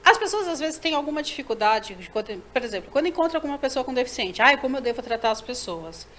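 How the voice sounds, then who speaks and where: A woman's voice: high-pitched, big pitch swings, fast, fairly bright tone, slight background noise. A woman hosting a TV talk show, asking an interpreter curious and enthusiastic questions. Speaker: TV show host. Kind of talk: interview questions, casual chat.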